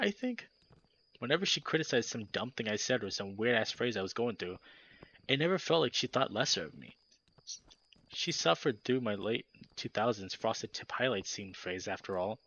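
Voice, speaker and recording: A man hosting a let's play, reading a visual novel aloud in steady narration with animated, jokey asides. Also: fairly low pitch, highs cut off.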